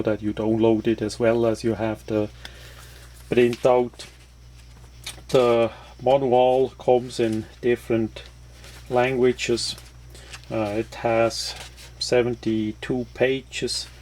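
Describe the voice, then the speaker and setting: Slow, flat-toned man reviewing a product. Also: medium pitch. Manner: halting, hesitant phrasing. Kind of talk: casual unscripted product walkthrough.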